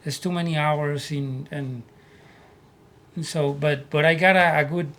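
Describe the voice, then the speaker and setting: A man from Puerto Rico with a Puerto Rican Spanish accent, telling his life story as an interview guest in earnest, halting English. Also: fairly high pitch, steady tone, a bit bright. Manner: earnest, repeats words as he searches for English. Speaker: guest, army veteran.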